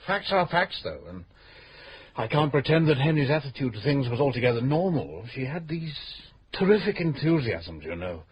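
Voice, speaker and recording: An actor playing a flustered, hesitant widower. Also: male voice, medium pitch, big pitch swings, normal speed, lo-fi sound, a crowd in the background, close to an everyday voice. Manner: hesitant, full of uhs, flustered. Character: fussy widowed middle-aged man, evasive. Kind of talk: 1970s-80s radio drama acting.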